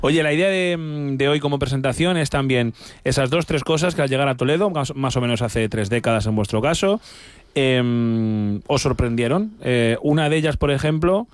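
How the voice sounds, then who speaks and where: A male interview host with an ordinary, mid-pitched voice. He keeps the conversation moving with curious, rapid follow-up questions. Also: dry recording. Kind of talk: radio interview.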